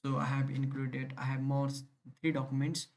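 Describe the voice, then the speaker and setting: A man's voice: mid-range voice, completely flat delivery, normal speed, darkish tone. A young man from Nepal, a native Nepali speaker, talks in English with a Nepali accent, walking through an online application step by step with many fillers and restarts. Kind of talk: screen-recorded tutorial.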